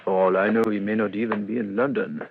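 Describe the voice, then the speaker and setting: A man acting in a radio drama as a courteous, astute middle-aged police inspector, speaking in a measured, formal, ruminative way. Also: lowish voice, flat delivery, gravelly. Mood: musing, wry. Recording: muffled, lo-fi radio recording, faint noise.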